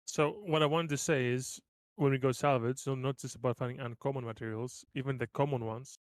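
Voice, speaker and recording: A man's voice: mid-range voice, fairly even intonation, fairly quick, studio quality. Brief: male game player, middle-register voice, informal suggestions at the table, no room echo. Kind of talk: casual tabletop game planning.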